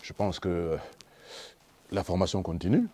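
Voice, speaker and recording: A man with a Gabonese French accent, hosting a TV studio interview, asking relaxed questions full of fillers. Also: deep voice, unhurried. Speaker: TV host.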